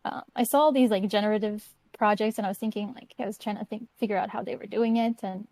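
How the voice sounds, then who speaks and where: A woman's voice: mid-range voice, fairly even intonation, fast talker, a little mellow, no echo. A woman from Croatia, a guest artist answering questions in an easygoing, chatty way. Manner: easygoing, chatty, light laughs. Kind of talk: casual interview answers.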